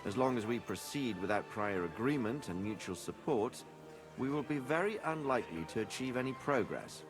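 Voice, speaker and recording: A man playing an expressive, dignified medieval lord. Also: medium pitch, music in the background, natural voice. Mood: measured, diplomatic. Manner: measured, formal, diplomatic. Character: dignified older medieval lord, measured and fatherly. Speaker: video game character. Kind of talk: cinematic video game dialogue.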